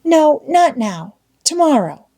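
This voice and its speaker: A woman's voice: high voice, sing-song, slow pace, dark tone, pitched above the performer's own voice, exaggerated voice. A woman voicing a shy, sweet, hesitant little schoolgirl in an audiobook, timid and childlike. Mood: bashful. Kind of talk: audiobook character voice.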